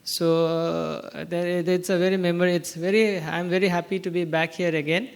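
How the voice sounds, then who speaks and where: A middle-aged man from Northeast India presents at an academic conference, his voice sitting notably high for a man. He speaks in a chatty way, dropping "uh" fillers and restarting his sentences as he goes. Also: level tone, moderate pace, vocal fry, clear, resonant tone. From Assam, Northeast India. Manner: frequent "uh" fillers and restarts, conversational anecdotes. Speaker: conference speaker, professor and dean. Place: conference hall.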